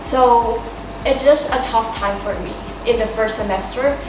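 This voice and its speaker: Halting teenage girl in echoey room. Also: a little high, over music. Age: teenager, high school student. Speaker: student panelist. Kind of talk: casual discussion of school life. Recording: echoey room, distant mic, clear noise.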